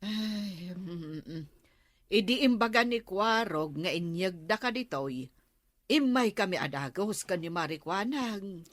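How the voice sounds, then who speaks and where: A woman's voice: medium pitch, lively intonation, measured pace, no room sound. An actress playing a concerned, chatty village woman who gives advice in a comedy radio drama. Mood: concerned, advising.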